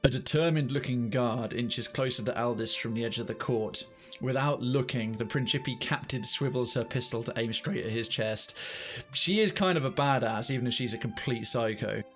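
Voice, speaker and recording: A man's voice: medium pitch, normal speed, fairly bright tone. A man streaming a video game, reading narration aloud and musing over it as he goes. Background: faint game music.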